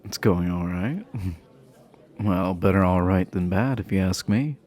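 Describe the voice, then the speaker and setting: Man playing a deep-voiced flirty himbo jock. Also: darkish tone. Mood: friendly, nervous. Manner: halting, bashful chuckling, teasing. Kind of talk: romantic roleplay audio acting, addressed to listener.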